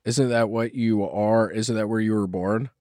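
A man's voice: fairly low pitch, steady tone, moderate pace, darkish tone, no room sound, clean studio sound. A man hosting a casual interview, asking curious, prodding questions. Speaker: talk show host.

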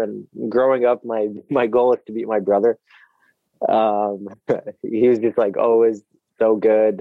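A man's voice: fairly low pitch, no room sound. A man in his twenties from Egypt, a podcast guest answering questions in a friendly way, often laughing and repeating words. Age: 20s. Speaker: guest, professional athlete. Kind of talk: casual interview answers. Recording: dry, dull remote audio.

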